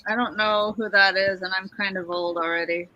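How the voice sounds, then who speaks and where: A woman's voice: medium pitch, steady tone, normal speed, pushed and bright, no echo, muffled recording. A woman in her thirties, a guest artist on a casual drawing stream, curious, asking questions and giggling. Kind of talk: casual chat while drawing.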